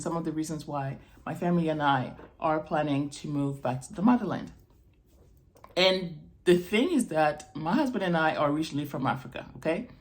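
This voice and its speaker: A woman from Morocco speaking off the cuff to camera as a video blogger, explaining things and checking in with the listener. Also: sits low. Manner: explanatory, checks in with "okay?" and "right?". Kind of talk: unrehearsed personal talk to camera.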